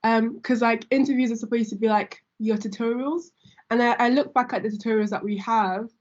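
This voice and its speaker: A woman's voice: a little high, fairly even intonation, a little fast, a little mellow. A young woman hosting a casual group chat among students, talking chattily with many fillers. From Nigeria. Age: young adult. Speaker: student host. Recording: dull lo-fi audio.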